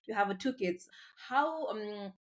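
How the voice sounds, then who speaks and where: A woman from Nepal with a Nepali accent hosts a casual podcast interview, phrasing her questions in a halting, hesitant way. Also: mid-range voice, expressive. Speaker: podcast host.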